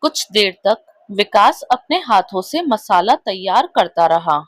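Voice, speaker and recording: A woman's voice: medium pitch, animated, a little slow. A woman reading scripted narration for a moral storybook tale. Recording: rain, thunder and vehicle noise behind.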